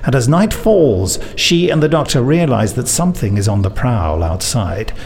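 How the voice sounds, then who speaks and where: A man's voice: mid-range voice, lively intonation, moderate pace, darkish tone, breathy edge, no room sound. A man hosting a podcast, mixing chatty, jokey banter with playfully theatrical scripted promo reading. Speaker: podcast host. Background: music bed.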